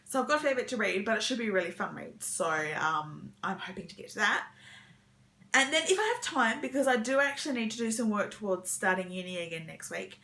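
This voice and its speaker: Bright-voiced woman chatting about her reading. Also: medium pitch, animated, fairly quick, vocal fry. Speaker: book vlogger sharing weekend reading plans. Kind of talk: casual rambling chat to camera.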